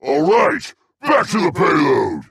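A man voicing a brutish, hot-tempered dragon warrior for a video game, with a gravelly, raspy growl and a blustering, boastful manner. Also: on the high side, wildly swooping pitch, takes it slowly, a bit bright, no echo, studio quality, heavily acted. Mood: boastful, fired up. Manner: blustering, swinging from growls to bellows. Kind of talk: video game character barks.

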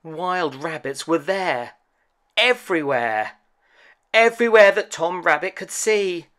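A man narrating a children's storybook as host, with gentle, deliberate, expressive phrasing. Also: sits high.

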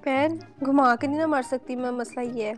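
A woman's voice: sits high, normal speed, faint music behind. A woman chatting and joking casually as she plays a game. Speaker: gamer in team voice chat. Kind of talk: casual chat while gaming.

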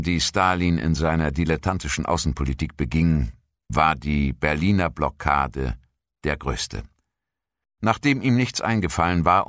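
Deep-voiced man narrating a documentary. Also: a bit bright, no echo, studio quality. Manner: measured, formal read delivery.

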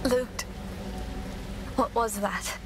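A woman's voice: on the high side, very slow, noisy background. A woman playing a stubborn, determined young warrior in a video game, terse and weary in her delivery. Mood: dazed, pained. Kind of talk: video game cutscene acting.

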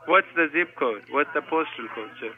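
A male telemarketer comes through a thin, narrow phone line. His voice sits a little high, and he works through scripted sales questions politely and formulaically, often saying sir. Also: animated, moderate pace. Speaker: telemarketing caller posing as senior supervisor. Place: heard through a desk phone speaker. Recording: tinny speakerphone call audio.

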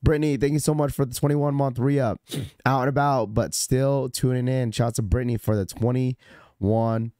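A young man with a hoarse, slightly cracky, congested voice, casually hosting a live stream and greeting viewers by name with laid-back slang. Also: medium pitch, fairly even intonation, moderate pace, dry recording, clean studio sound. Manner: laid-back slangy shout-outs with fillers. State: hoarse, recovering from illness. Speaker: livestream host.

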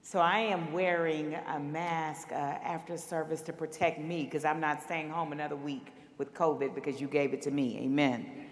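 Low, slightly raspy woman pastor. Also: fairly even intonation, moderate pace. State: recovering from illness, hoarse. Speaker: senior minister. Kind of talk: pastor addressing congregation. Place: large church sanctuary, at the pulpit. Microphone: pulpit microphone. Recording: long church reverb.

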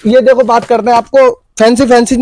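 High-voiced shopkeeper man pitching stock. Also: little pitch movement, a little fast, a little mellow. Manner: repetitive selling patter. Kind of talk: sales pitch showing products. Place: crowded cosmetics shop indoors.

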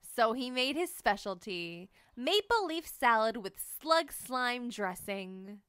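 Animated woman reading a picture book aloud. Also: a little high, slow pace, clear, resonant tone, no room sound, studio quality. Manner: animated storytelling, sing-song. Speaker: read-aloud narrator. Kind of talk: expressive children's book read-aloud.

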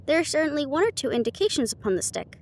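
A woman voicing a sharp, confident male detective with a precise, formal, condescending manner in an audiobook. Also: high voice, lively intonation, fast talker, slight background noise. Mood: analytical.

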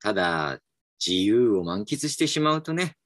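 A man's voice: on the low side, very animated, a little slow, fairly bright tone, no echo, studio quality. An actor playing a reflective, slightly dejected male employee in a voice drama, polite and musing. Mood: wry, polite. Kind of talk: voice drama acting with inner narration. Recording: music behind the voice.

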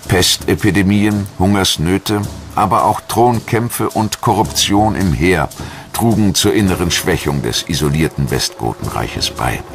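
A man with a deep, low voice narrates a historical documentary. His delivery is measured, formal and solemn, reading from a script. Faint music plays behind him. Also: expressive, gravelly. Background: background music.

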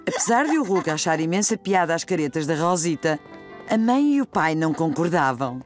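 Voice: medium pitch, sing-song, a little fast, music in the background, close to an everyday voice. A narrator with a European Portuguese accent reading scripted story narration for a children's cartoon.

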